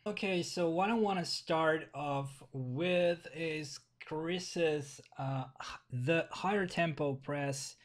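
Finnish man, halting casual vlog talk. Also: on the high side. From Finland. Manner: halting, repeats words, frequent fillers. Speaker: vlogger. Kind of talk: casual video commentary. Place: small bedroom.

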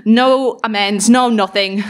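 A woman's voice: fairly high pitch, fairly even intonation, slow. Woman acting a brooding, sardonic exiled fairy. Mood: grumpy. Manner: brooding, self-mocking, sweary. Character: exiled fairy woman, sardonic, guilt-ridden, grudging diarist. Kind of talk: audio drama diary monologue performed live.

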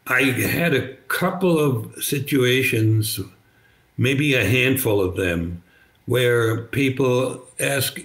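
An older man with a slight German accent, German native, telling his story as a podcast guest over a video call, halting with many restarts. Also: medium pitch, fairly bright tone. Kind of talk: conversational storytelling. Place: bright living room, indoors. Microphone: video call webcam.

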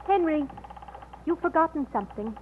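A woman's voice: sits high, animated, moderate pace. An actress playing an ardent, determined young woman in love, earnest and melodramatic, in an old-time radio romance. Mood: hurt, determined. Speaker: radio drama actor. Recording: scratchy old radio, narrow muffled band.

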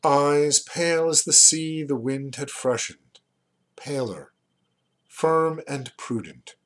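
A man in his fifties reads literary fiction aloud in a deliberate, expressive way, pausing between phrases. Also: fairly high pitch. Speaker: reader, poet and rare book seller. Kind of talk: literary audiobook-style reading of a novel. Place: small furnished room at home, indoors. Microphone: webcam or computer mic at desk distance.

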